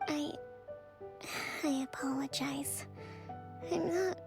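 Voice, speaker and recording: Game casting: weary, polite young woman leader, unhurried, hesitant, soft with a fry edge, music underneath. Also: sits high, full character voice. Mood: weary, apologetic. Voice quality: soft, breathy sighs. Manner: hesitant, apologetic. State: drained. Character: gentle, weary young female leader, polite and self-doubting. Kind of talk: video game voice acting.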